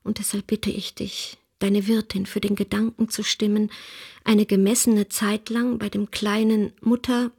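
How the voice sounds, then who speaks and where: A woman playing a brave, ailing young mother, reading her letters in a subdued, measured, formal way in a radio drama. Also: mid-range voice, flat delivery, gravelly, a little mellow, breathy edge. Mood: subdued, pleading.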